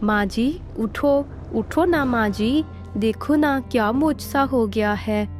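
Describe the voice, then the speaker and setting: A woman's voice: a little high, slow pace. A woman playing a good-hearted, respectful, eager young daughter-in-law in a cartoon story. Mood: excited. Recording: faint music behind the voice.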